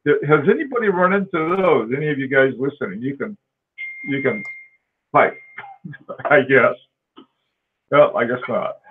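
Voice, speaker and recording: A man's voice: mid-range voice, expressive, moderate pace, long pauses, slight background noise. Man on a tinny call line. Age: 40s. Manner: rambling, laughing often, with many fillers and restarts. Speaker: host. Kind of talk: casual live-stream chat.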